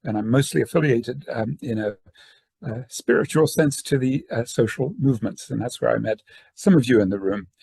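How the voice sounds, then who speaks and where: A man's voice: medium pitch, normal speed, gravelly, darkish tone, husky, no echo. An older man from Northern Ireland, raised in the southern United States, giving a conference talk over a video call in discursive, hesitant phrasing. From born in Northern Ireland, raised in the southern United States. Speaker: academic and activist giving a talk. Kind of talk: semi-formal conference presentation.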